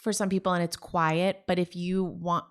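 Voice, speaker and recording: A woman's voice: lowish voice, little pitch movement, moderate pace, smooth. A woman in her forties from New Jersey, a story salon founder, talking enthusiastically and animatedly as an interview guest. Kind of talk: casual conversation.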